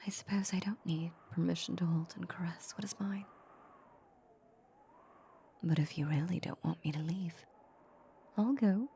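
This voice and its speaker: Woman acting as a vampire countess, lowish mellow voice, soft breathy whisper, tender and possessive, intimate audio drama, faint ambience behind. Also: slight background noise. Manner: slow, coaxing, intimate murmur.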